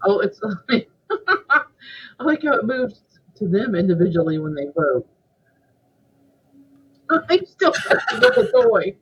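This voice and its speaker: A woman's voice: medium pitch, sing-song, slow, pauses often, fairly bright tone, lo-fi sound, a little hiss or hum. A woman co-hosting a reaction show, commenting casually and piecing her thoughts together aloud.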